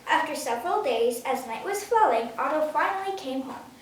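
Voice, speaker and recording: High-voiced young girl reading, very echoey. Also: lively intonation, moderate pace. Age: child, ten years old. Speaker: story reader. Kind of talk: children's storybook read-aloud. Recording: very echoey room, distant mic, faint noise.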